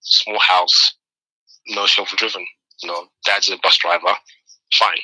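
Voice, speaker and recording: Bright-voiced man telling his story. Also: deep voice, unhurried, slightly rough, dry recording, poor audio. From Nigeria. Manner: animated storyteller, repeats words while thinking. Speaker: guest. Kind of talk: conversational interview.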